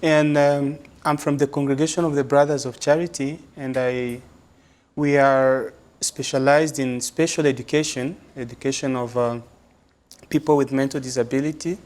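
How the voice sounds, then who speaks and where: A man from Congo, answering interview questions as a religious brother and psychologist, speaking in a measured, thoughtful way with many pauses and fillers. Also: mid-range voice, a little mellow.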